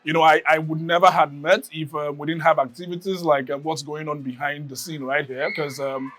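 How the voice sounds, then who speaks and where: A man from Nigeria giving a reflective personal testimony, often pausing to search for words. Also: on the high side, level tone, fairly bright tone. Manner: reflective, many fillers and restarts. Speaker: interviewee.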